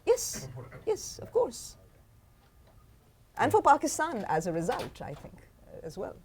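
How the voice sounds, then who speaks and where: Animated, emphatic woman professor. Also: high voice, unhurried, slight background noise. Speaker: author and history professor. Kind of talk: answering audience questions at a book talk. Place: university lecture hall.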